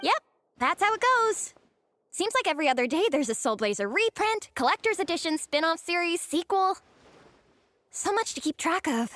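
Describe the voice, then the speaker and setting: A woman's voice: extremely high pitch, big pitch swings, moderate pace, a bit bright, no room sound, full character voice. An actress voicing a spirited young female comic fan in a video game, with a teasing, feisty manner. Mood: knowing, amused. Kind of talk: English video game voice acting.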